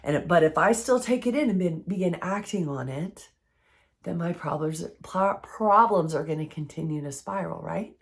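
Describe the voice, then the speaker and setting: Hoarse woman teaching earnestly. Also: fairly low pitch, moderate pace. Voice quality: froggy, strained voice. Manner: earnest, conversational, with lively voiced asides. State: hoarse, recovering from illness. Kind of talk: faith-based teaching talk to camera.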